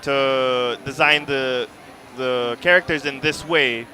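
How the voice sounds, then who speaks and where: A man's voice: mid-range voice, steady tone, takes it slowly, sharp tone. A man hosting a convention interview, upbeat and affirming, asking leading questions. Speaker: host interviewing game developers.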